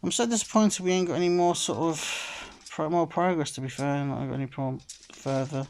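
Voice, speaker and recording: A man's voice: on the high side, moderate pace, a little hiss or hum. A man casually commenting while playing a slot game, rambling and filling gaps with "sort of" and "like I say", sounding a bit phlegmy. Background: game music.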